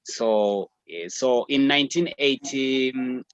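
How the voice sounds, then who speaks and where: A man in his thirties from Zambia, a musician talking reflectively in a remote interview, filling pauses with "you know". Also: mid-range voice, clear, resonant tone, no echo, muffled recording. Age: 30s. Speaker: musician guest. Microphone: video or phone call.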